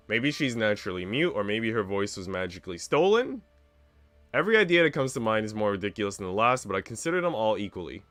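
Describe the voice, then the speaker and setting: Expressive male streamer reading a visual novel. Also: on the low side, dry recording. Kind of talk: reading game narration aloud with casual commentary.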